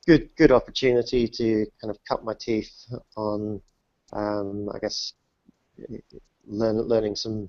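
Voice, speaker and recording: Brief: man in his thirties from the UK, lowish voice, halting and self-deprecating, dry lo-fi call line. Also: a little mellow, no echo. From New Zealand, grew up in the UK. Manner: hesitant, many restarts and fillers, self-deprecating. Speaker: guest entrepreneur. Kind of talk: casual interview answers. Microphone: remote call, dull lo-fi and dry. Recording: dull dry online call audio.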